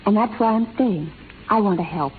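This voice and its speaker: A woman's voice: medium pitch, moderate pace, close to an everyday voice. Sincere young schoolteacher played by a woman, tinny radio. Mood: timid, earnest. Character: gentle, earnest young schoolteacher in love. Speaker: actress in a radio drama. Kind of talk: 1930s radio drama acting. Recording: tinny, noisy 1930s radio recording.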